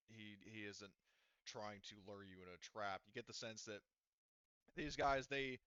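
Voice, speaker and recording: A man guides players through a tabletop game in loose, halting speech full of restarts. His voice is bright and smooth, recorded dry and up close on somewhat dull, lo-fi audio. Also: mid-range voice, no room sound. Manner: halting, many restarts and fillers. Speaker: game master. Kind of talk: casual tabletop game narration.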